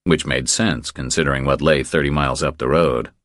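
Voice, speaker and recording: A man's voice: a bass voice, fairly even intonation, a little fast, fairly bright tone, dry recording, studio quality. A man reading scripted thriller narration as an audiobook narrator.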